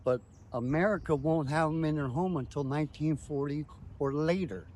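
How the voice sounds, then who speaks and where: A man's voice: fairly high pitch, moderate pace, a little mellow, slight background noise. A man speaking as a tour guide, explaining things step by step in short, emphatic declarative phrases.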